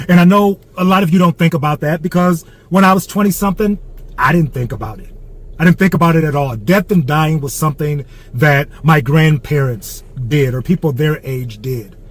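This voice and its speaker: Blunt older man with a fairly high voice. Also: husky. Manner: blunt, repetitive, swears for emphasis. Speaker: motivational speaker in a played clip. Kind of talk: blunt motivational monologue.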